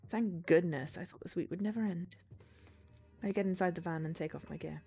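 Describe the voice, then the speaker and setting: A woman's voice: lowish voice, a little fast, dark tone, slightly rough. A woman streamer reading visual novel narration aloud, with game music behind her.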